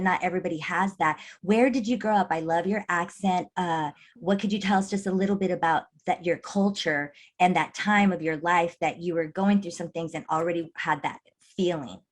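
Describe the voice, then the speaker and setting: Quick encouraging woman host. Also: lowish voice. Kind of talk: casual interview talk.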